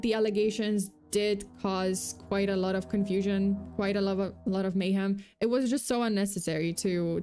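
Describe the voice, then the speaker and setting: A woman's voice: medium pitch, warm tone. Young female streamer with a slight Polish accent, loose and rambling delivery, faint music underneath. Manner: chatty, full of fillers and self-corrections, reads chat aloud. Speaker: VTuber host. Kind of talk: casual true-crime livestream chat.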